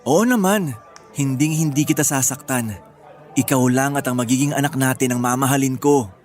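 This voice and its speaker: A man's voice: medium pitch, normal speed, close to an everyday voice. A man playing a devoted, earnest young groom with a Filipino accent in a radio drama. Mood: reassuring. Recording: music bed behind the voice.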